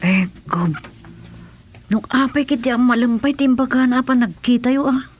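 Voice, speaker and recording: A woman acting an excitable companion to a governor in a radio drama. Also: fairly high pitch, moderate pace, lightly acted. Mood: agitated.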